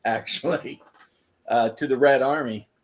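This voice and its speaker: A man with a middle-pitched voice chats on a livestream. He hesitates often, fills gaps with "uh" and lets his sentences trail off. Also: fairly even intonation, measured pace, a little hiss or hum. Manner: hesitant, trails off, many fillers. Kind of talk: casual chat.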